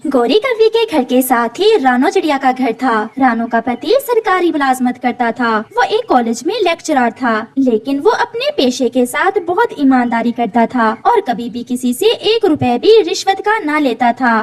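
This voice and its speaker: A woman's voice: high-pitched, sing-song, moderate pace, hardly any pauses. A woman narrator reading a scripted children's cartoon story.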